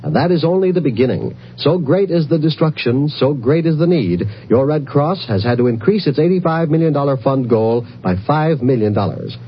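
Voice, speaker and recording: A man's voice: fairly high pitch, animated, moderate pace, hardly any pauses. A male announcer reading an earnest, solemn 1950s radio charity appeal with urgent, rhetorical phrasing. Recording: muffled old-time radio recording, faint hum.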